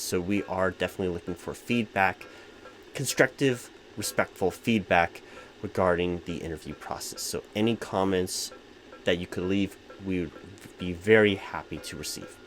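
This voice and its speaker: A man's voice: deep voice, moderate pace, soft background music, close to an everyday voice, poor audio. A man playing a distracted, flustered corporate hiring manager in a comedic roleplay, speaking in wordy, stammering office formality. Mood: formal, polite. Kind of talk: comedic one-sided ASMR-style roleplay, spoken aloud.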